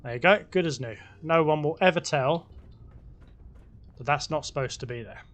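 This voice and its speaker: A man giving relaxed, casual gameplay commentary, talking to himself as he builds, his voice a little croaky. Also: on the high side, normal speed. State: slightly croaky voice. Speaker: gaming video host.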